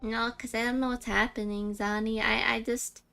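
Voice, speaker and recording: A woman's voice: fairly high pitch, level tone, normal speed, vocal fry, sharp tone, soft background music. A woman livestreaming a game with casual chat, gushing and giggly as she reacts aloud. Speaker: streamer.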